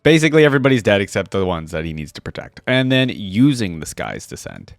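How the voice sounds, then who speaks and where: A man's voice: on the low side, very animated, quick pace, gravelly, dry recording. A man hosting a reaction video, giving casual commentary in a conversational way. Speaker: host of a voice line reaction channel.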